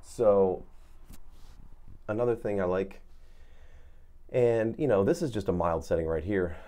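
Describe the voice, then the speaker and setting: A man's voice: fairly low pitch, unhurried, warm tone. A man giving an off-the-cuff, casual guitar effects tutorial, laid-back and rambling as he searches for words. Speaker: guitar effects demonstrator, host.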